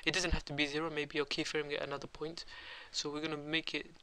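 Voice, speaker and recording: Bright clear young man with a cold. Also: on the high side, little pitch movement, quick pace. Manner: rambling, lots of ums and uhs. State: has a cold. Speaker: tutorial host. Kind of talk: casual screen-recorded software tutorial.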